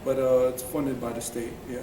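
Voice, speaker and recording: A man's voice: medium pitch, normal speed. Young guy from syria answering questions, mid voice, far from the mic, hissy. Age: 20s. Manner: relaxed, plain answers with fillers. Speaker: student guest. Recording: echoey room, far from mic, noise.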